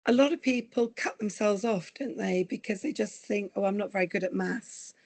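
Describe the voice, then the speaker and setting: A woman with a croaky voice from recent illness, giving an earnest, explanatory online presentation. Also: medium pitch, normal speed, a little mellow. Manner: earnest, explanatory, asks for slide changes. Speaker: guest presenter.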